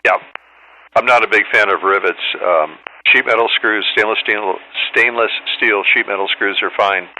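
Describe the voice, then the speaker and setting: A man's voice: on the low side, normal speed, bright, forceful tone, a little hiss or hum, low-quality recording. A man in a casual ham radio conversation, chatting informally and explaining technical details. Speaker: host, amateur radio operator in contact.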